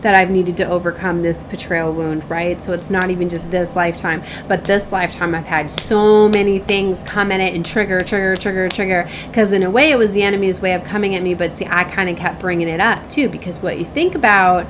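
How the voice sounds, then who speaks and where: A woman's voice: fairly low pitch, fairly even intonation, a little fast, hardly any pauses, noisy background. A woman giving a casual, effusive spiritual talk to viewers as a reader, rambling and often checking for agreement. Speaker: spiritual reader and self-described shaman.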